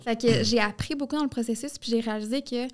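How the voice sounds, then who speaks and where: Young woman, Québécois French accent, podcast guest, fairly high pitch, flat monotone delivery. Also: quick pace. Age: young adult. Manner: talks in run-on bursts, frequent "tu sais". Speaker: podcast guest, marketing entrepreneur. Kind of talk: casual interview chat.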